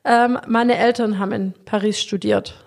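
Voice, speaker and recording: A woman's voice: mid-range voice, steady tone, moderate pace, vocal fry. A woman in her thirties, guest in a podcast interview, telling her story in a chatty way and laughing often. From Germany. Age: 30s. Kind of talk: casual interview chat.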